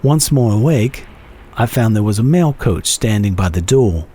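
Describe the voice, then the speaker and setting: A man giving a measured, theatrical dramatic reading of a classic short story as its narrator. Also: lowish voice, lively intonation, dark tone, slightly rough. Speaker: narrator, reader.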